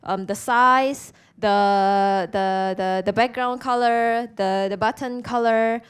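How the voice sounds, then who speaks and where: Clear-voiced Indonesian woman giving a talk. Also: on the high side, steady tone, takes it slowly. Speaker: conference speaker. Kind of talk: presentation to an audience.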